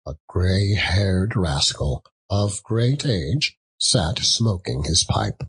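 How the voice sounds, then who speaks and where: A man reads literary narration with a storyteller's drama. His voice is very husky and fairly low, moving through wide swings of pitch at a measured, unhurried pace. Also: expressive. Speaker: audiobook narrator.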